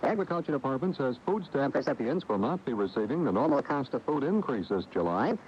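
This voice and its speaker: Animated American newsman on dull radio tape. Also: medium pitch, fast, a little hiss or hum. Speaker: radio news reporter. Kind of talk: scripted news reading.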